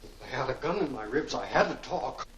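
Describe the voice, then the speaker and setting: A man's voice: mid-range voice, expressive, quick pace, natural voice. An actor playing a brusque, defensive male gangster henchman in a 1940s film serial. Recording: very noisy old film soundtrack with clicks.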